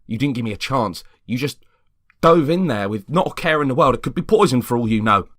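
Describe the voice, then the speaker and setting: A man playing a sleep-deprived, awkward male neighbour in a dramatic script reading, halting and evasive in manner. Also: medium pitch, expressive, a bit bright, no echo, clean studio sound, pitched above the performer's own voice. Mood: agitated.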